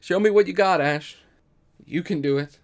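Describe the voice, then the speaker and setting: A man acts out a stubborn gambling father for a storytelling podcast. He is proud and curt, laying down the law. His voice sits a little higher and louder than plain narration. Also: moderate pace. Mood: annoyed, defiant. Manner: boastful, curt, commanding.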